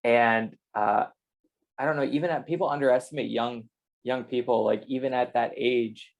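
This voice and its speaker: A male interview guest shares his personal story in a casual, conversational way. His pitch stays fairly flat and even throughout. He sounds like someone talking informally about his own life. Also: normal speed, creaky. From Canada and the United States.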